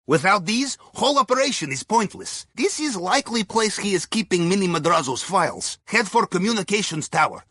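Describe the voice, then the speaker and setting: Wry, high-pitched Russian submariner. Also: male voice, very animated, a little fast, hardly any pauses, pushed and bright, slightly rough, dry recording, studio quality, heavily acted. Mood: businesslike, urgent. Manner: wry, clipped phrasing with dropped articles and tag questions. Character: wry, cheerful Russian submarine captain's mate and heist planner. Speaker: mission guide. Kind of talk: video game voice acting, mission briefing.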